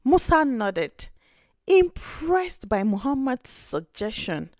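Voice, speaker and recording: A woman storyteller reading a scripted folktale with expressive narration. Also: sits high, slow, no echo, clean studio sound.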